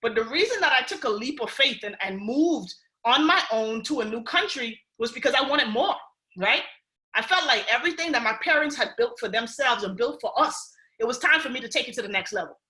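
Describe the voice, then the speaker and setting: Bahamian lady with a high bright voice hyping up a call, lots of "right?", kinda echoey room. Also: a little fast. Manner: animated, keeps asking "right?" and "y'all", keeping it real. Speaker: speaker on a recruiting call. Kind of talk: motivational pitch on a conference call.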